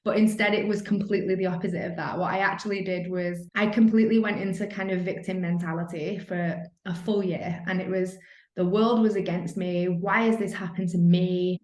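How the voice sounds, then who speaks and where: A woman's voice: lowish voice, steady tone, a little fast, darkish tone. A woman, telling her story as an interview guest in a chatty way, full of "like". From Manchester, England. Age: 30s. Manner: chatty, lots of "like", acts out her own thoughts. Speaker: guest, personal trainer and nutritionist. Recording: roomy echo.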